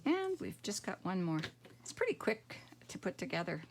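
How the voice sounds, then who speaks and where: A middle-aged woman with a croaky voice, demonstrating card making at home and thinking aloud in a relaxed, rambling way. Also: mid-range voice, moderate pace, a little hiss or hum. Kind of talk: casual craft tutorial.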